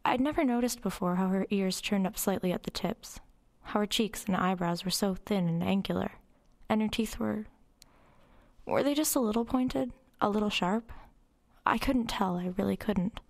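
A woman's voice: mid-range voice, moderate pace, creaky, darkish tone, lightly acted. A woman playing a brooding, eerily detached teenage girl who narrates her own story in a radio horror drama. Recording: music under the voice.

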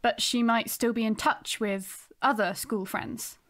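An actress playing a polite, measured detective sergeant in an audio drama, courteous and matter-of-fact. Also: female voice, fairly high pitch, steady tone, fairly bright tone.

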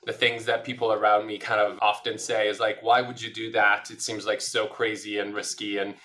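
A man's voice: on the low side, level tone, brisk, bright, forceful tone. A middle-aged man from Italy, a native Italian speaker, giving long, thoughtful interview answers full of fillers. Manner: thoughtful, discursive, many fillers. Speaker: podcast guest.

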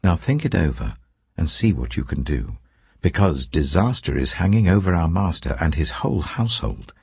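Older man voicing an anxious servant, urgent, strained higher, gravelly, dry close sound. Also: big pitch swings, moderate pace, darkish tone, dry recording, exaggerated voice.

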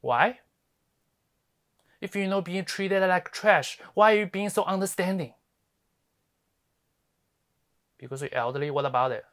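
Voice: medium pitch, expressive, normal speed. An actor playing a sardonic, fiery lawyer in a theatrical monologue, scolding with scathing rhetorical questions. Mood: biting, incredulous. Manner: scathing, rhetorical, swinging from hushed to ranting. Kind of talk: theatrical dramatic monologue performance.

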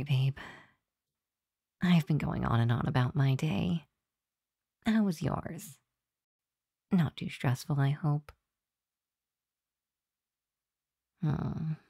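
A woman playing a sweet, tired demon girlfriend in an intimate ASMR roleplay, talking softly and affectionately to the listener. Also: sits low, gravelly, breathy edge, dry recording, studio quality, full character voice. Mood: apologetic, tender. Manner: soft, affectionate, sighing, confiding. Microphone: close microphone, dry room.

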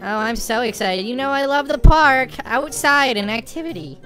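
A woman voicing a bratty, self-important pet unicorn with a sarcastic, deadpan delivery in a gaming roleplay. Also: high voice, moderate pace. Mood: sarcastic, bored. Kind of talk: roleplay gaming video dialogue.

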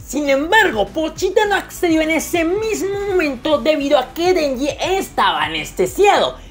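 Lively high-voiced woman reviewing manga. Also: moderate pace. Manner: irreverent, crude jokes and slang. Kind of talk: scripted comedic manga review voice-over. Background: faint background music.

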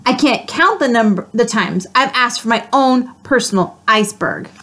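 A woman's voice: on the high side, expressive, normal speed, a bit bright, voice pushed down. A woman doing a whiny, wailing pigeon. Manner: whining, wailing complaints. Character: whiny, dramatic pigeon who always asks.

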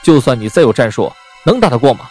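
A man's voice: medium pitch, sing-song, quick pace, darkish tone, slightly rough, soft background music. A man playing a cocky, eager young male warrior in a period audio drama, boyish and stubborn. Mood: doubtful.

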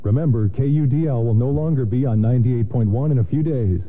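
A man's voice: mid-range voice, brisk, phone-quality sound. A man reading scripted station promos as a radio announcer. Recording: band-limited FM radio aircheck.

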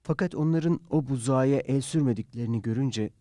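A man's voice: medium pitch, a little fast, dark tone, dry recording, clean studio sound. A man reciting translated scripture in a solemn, reverent and dramatic manner. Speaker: reader.

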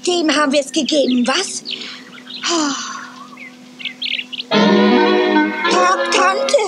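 A woman voicing a small, cheeky young male squirrel in a cartoon dub, talking in a lively, squeaky, playful way. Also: takes it slowly. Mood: curious. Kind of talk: 1980s German cartoon dub. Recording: music underneath.

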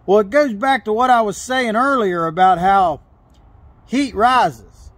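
A man's voice: sits high, measured pace, fairly bright tone, no echo. A middle-aged man giving a hands-on welding tutorial outdoors beside a truck, plain-spoken and often restarting his phrases as he explains. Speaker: welder teaching on camera. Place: outdoors by a log truck in a gravel yard.

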